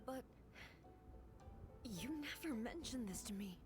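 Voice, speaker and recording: A woman playing a proud, reserved female deity in a fantasy game, speaking in a restrained, serious and hushed way. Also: fairly high pitch, expressive, slow, over music. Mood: guarded, questioning. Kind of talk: scripted fantasy game voice acting.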